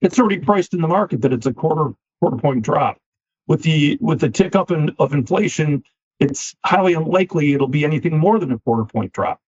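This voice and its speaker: A man, a finance company CEO, answering interview questions with a slightly scratchy voice, measured and often repeating words as he starts a thought. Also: a little high, lively intonation, a little mellow, breathy edge. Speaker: equipment finance CEO, guest. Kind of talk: business podcast interview. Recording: dull lo-fi sound.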